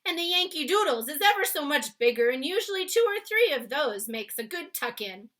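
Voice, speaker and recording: A woman's voice: sits high, very animated, a little fast, fairly bright tone, voice pushed up. A woman voicing a big, friendly male giant from a children's storybook, with muddled grammar and nonsense words in an exclamatory manner. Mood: explaining with relish. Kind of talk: read-aloud children's storybook character.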